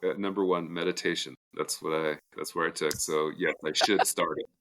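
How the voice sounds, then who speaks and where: A man co-hosting a podcast in an informal, conversational way, with halting, pause-filled introductions. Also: low-pitched, steady tone, a bit bright. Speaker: podcast co-host.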